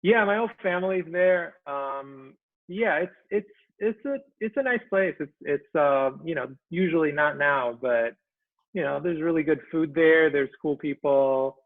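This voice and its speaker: A man of about forty from Israel, a guest talking in a casual chat, soft-spoken and halting, with many ums and trailing phrases. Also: fairly high pitch, dry recording. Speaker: guest cartoonist. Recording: tinny dry call audio.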